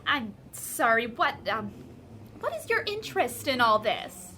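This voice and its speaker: A woman plays a prim, officious homeowners' association president in a radio comedy. The voice is very high and bright, stiffly polite, with faint background noise. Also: very animated, a little slow, exaggerated voice. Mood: politely strained. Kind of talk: naturalistic radio comedy acting.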